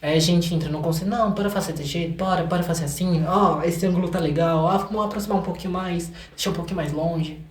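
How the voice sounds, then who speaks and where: A man's voice: fairly high pitch, steady tone, fast, hardly any pauses. A young Brazilian man chatting casually and informally, often repeating words for emphasis. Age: young adult. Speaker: interview guest, video maker and film student. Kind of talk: casual chat. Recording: roomy echoing sound.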